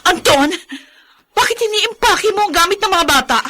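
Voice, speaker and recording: A desperate young Filipino mother in a radio melodrama. Her very high, piercing voice swings wildly as she pleads in anguish. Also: lively intonation, moderate pace, sharp tone, full character voice. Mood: alarmed, pleading.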